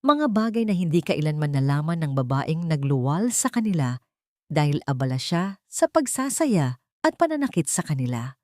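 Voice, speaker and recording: A woman voicing a humble but brave, devoted nanny in an audio drama, speaking clearly, politely and with feeling. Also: sits low, sing-song, moderate pace, darkish tone, dry recording, clean studio sound. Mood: heartfelt, brave. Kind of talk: Tagalog audio drama acting.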